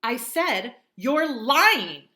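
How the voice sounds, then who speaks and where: A woman reading a storybook puts on an upset young boy who shrieks his accusations in a high, shrill voice. His tone is bright and sharp, and the lines come out loud and accusing. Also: sing-song, a little slow, exaggerated voice. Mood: furious. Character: angry hurt young boy shouting at family.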